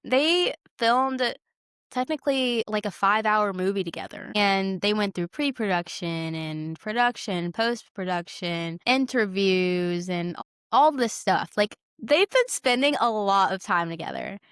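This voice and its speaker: A woman's voice: medium pitch, animated, unhurried, a bit bright, smooth, no echo, clean studio sound. A young woman co-hosting a podcast, chatting casually and effusively, stressing and repeating her words. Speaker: podcast co-host. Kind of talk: casual chat about films.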